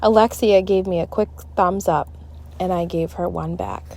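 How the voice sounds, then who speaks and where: A woman narrating a children's book aloud in an expressive, dramatic, deliberate style. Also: lowish voice, gravelly. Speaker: storybook reader.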